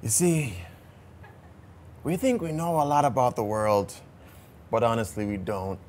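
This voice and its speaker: A Jamaican man chatting casually as a travel vlogger, relaxed and talkative with some stammered restarts. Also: medium pitch, measured pace. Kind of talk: casual travel vlog chat.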